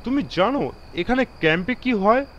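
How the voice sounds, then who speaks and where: Man acting an animated, high-pitched railway guard, alarmed and emphatic. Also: moderate pace, dull audio, slight background noise. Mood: brusque, knowing. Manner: emphatic, mixes English phrases into his speech. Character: alarmed, authoritative railway guard telling of strange events. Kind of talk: dramatised horror audio story.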